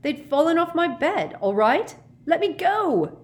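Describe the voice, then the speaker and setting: A woman's voice: lowish voice, expressive, slow pace. A woman voicing a lovesick teenage boy in a storybook reading, breathless and dreamy, easily enraged. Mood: furious, shouting. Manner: breathless, sighing, overwrought delivery.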